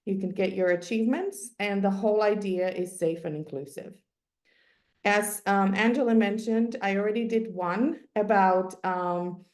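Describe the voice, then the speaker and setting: A woman whose first language is Finnish presents a sports psychology webinar at a middle pitch. She explains ideas step by step, often pausing with ums and restarting her sentences. Her voice carries a roomy echo. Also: a little mellow.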